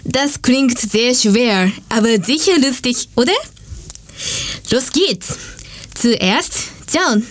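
Japanese lady hosting a radio bit, highish voice, japanese accent. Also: takes it slowly. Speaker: radio segment presenter. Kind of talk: scripted radio presenting in non-native German.

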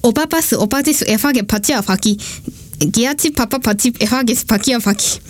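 A woman's voice: on the high side, normal speed. A woman with a Japanese accent presenting a radio segment in non-native German from a script.

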